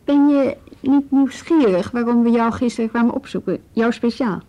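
An actress playing a calm, probing policewoman in a radio drama, questioning in a measured, businesslike way. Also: a little high, close to an everyday voice, poor audio. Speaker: police officer questioning a youth.

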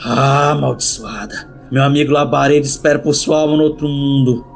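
A man's voice: mid-range voice, steady tone, fairly quick, gravelly, soft background music, close to an everyday voice. Man acting a vengeful, cursing backlands bandit. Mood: vengeful fury. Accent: Brazilian. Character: vengeful male cangaço bandit, furious and cursing. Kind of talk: audio drama acting.

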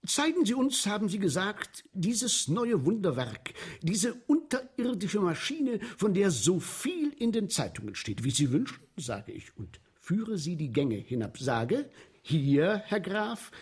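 Eager, sing-song husky sewer guide. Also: male voice, sits high, very animated, a little slow, darkish tone, exaggerated voice. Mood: boastful. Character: eager, obliging sewer guide proud of his tunnels. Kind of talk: German radio play acting.

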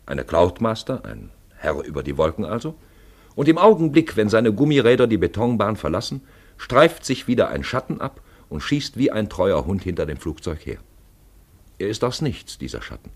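A man's voice: on the low side, big pitch swings, normal speed. A man acting as a storyteller, narrating a literary radio play in a lyrical, dramatic manner. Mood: poetic, dramatic. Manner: dramatic, lyrical, swelling and dropping away.

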